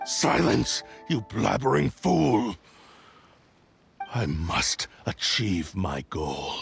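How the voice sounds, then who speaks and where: An actor voicing a proud, menacing sentient cursed sword in a game cutscene, speaking in a haughty, slow and commanding way with a gravelly voice. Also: male voice, on the low side, sing-song, soft background music, exaggerated voice.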